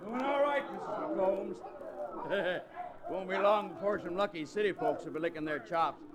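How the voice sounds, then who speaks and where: An actor with an American accent playing a big, crooked cattle hand in an old-time radio Western. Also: male voice, high voice, moderate pace, a crowd in the background, natural voice. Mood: falsely jovial.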